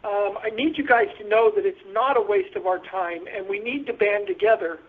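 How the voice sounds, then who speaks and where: A high-pitched male caller gives a statement over a narrow, tinny telephone connection. He speaks haltingly and earnestly, as if addressing a group on a conference call. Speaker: patient caller giving a statement. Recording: tinny, narrow phone line.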